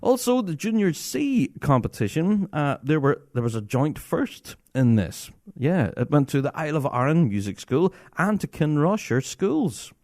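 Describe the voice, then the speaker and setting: A man's voice: on the high side, sing-song, moderate pace, hardly any pauses, a little mellow, no room sound, studio quality. A man hosting a podcast on his own, chatty and enthusiastic and laughing often. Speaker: podcast host. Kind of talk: casual solo podcast talk.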